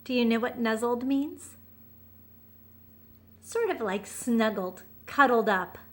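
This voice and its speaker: A woman storyteller reading a picture book aloud to young children, pausing for simple explanations and questions. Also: on the high side, lively intonation, fairly bright tone.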